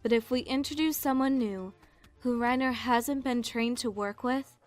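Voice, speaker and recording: A woman's voice: fairly high pitch, moderate pace, natural voice. A woman playing a haughty, composed noblewoman in an audio drama, formal and politely condescending. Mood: defensive. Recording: music under the voice.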